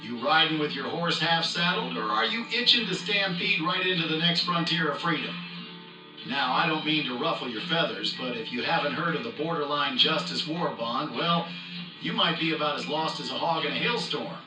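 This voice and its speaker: A man's voice: on the high side, moderate pace, reverberant, far from the mic, over music, natural voice, rough recording. A man playing a folksy, swaggering cowboy salesman with an American Western drawl in a radio advertisement. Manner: hokey, swaggering, colourful salesman patter. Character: folksy cowboy pitchman for war bonds.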